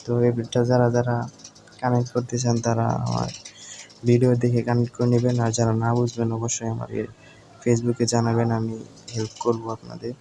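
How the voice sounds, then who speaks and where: A man with a Bangladeshi accent narrates an informal screen tutorial, explaining step by step in a halting way. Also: medium pitch, monotone, vocal fry, mellow, rough recording. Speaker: tutorial presenter. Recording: home recording with faint background noise.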